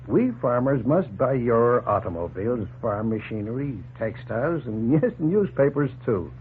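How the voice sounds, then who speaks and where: An actor playing a hospitable, plain-spoken farmer in a 1940s radio drama, earnest and explanatory. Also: male voice, mid-range voice, expressive, moderate pace, slight background noise, natural voice. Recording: tinny, humming 1940s radio recording.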